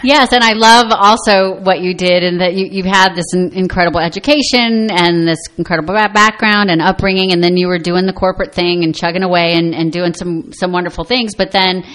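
Low-pitched woman hosting radio. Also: steady tone, brisk, hardly any pauses, fairly bright tone. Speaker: radio show host. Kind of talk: conversational radio interview.

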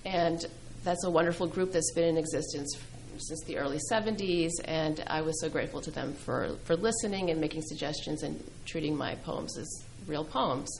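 A middle-aged woman who grew up speaking Greek, a poet answering interview questions thoughtfully, with many pauses and fillers. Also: deep voice, vocal fry, slight background noise, low-quality recording. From New England. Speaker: poet and filmmaker guest.

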